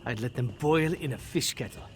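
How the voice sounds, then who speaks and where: An actor playing a gruff, sardonic military commander in a fantasy video game, speaking bluntly with folksy humor. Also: male voice, mid-range voice, fairly quick, a little hiss or hum, close to an everyday voice. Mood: exasperated. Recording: game dialogue over prominent music.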